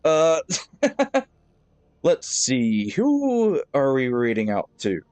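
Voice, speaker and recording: A man streaming a game live, thinking aloud with pauses and fillers and chatting to his viewers. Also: medium pitch, wildly swooping pitch, darkish tone. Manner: friendly sign-off to viewers. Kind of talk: live gameplay commentary.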